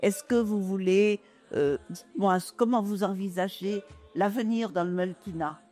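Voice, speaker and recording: A woman's voice: medium pitch, moderate pace, mellow. A woman hosting a web TV interview in a gallery, asking encouraging questions with faint music behind. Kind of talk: street interview at an event. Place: art gallery.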